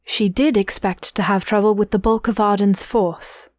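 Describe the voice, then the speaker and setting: A woman's voice: medium pitch, steady tone, normal speed, a little mellow, no echo, close to an everyday voice. Woman as a dry-recorded fantasy drama narrator. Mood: measured. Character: measured storyteller of a fantasy audio drama. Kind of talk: scripted story narration.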